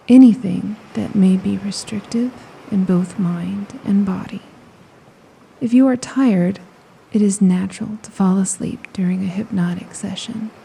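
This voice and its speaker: A woman with a very dark, mellow voice leads a slow guided meditation. She speaks softly and breathily, close to a whisper, with a gravelly creak at the edges. Her phrases are soothing and spaced by long pauses, over faint ocean waves. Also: mid-range voice, slight background noise, rough recording. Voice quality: soft, breathy, often near a whisper.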